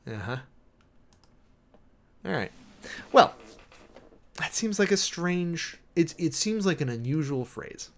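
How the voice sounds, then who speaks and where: A man's voice: on the high side, sing-song, slow, pauses often. A man giving a dramatic, emphatic reading of an official document, breaking off often for sardonic asides and commentary as host. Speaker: host, preacher.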